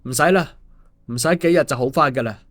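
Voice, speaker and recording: A man's voice: medium pitch, brisk, a bit bright, close to an everyday voice. A man voicing an easygoing, concerned male story hero in colloquial audiobook dialogue. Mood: reassuring.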